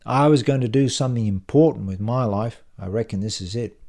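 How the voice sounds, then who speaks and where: A man voicing a cocky, matey male office colleague in an audiobook, pushing his pitch with brash slang. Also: a little fast, warm tone, lightly acted. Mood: boastful.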